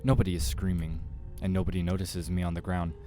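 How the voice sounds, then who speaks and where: Low-pitched man narrating horror fiction. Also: little pitch movement, fast, dark tone, faint music behind. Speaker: game player reading aloud. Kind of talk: scripted first-person horror narration.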